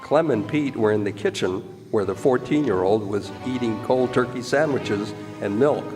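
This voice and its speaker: A male narrator delivers scripted, dramatic radio-play storytelling. His voice is medium in pitch and reverberates in a hall, with clear music behind it. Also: moderate pace.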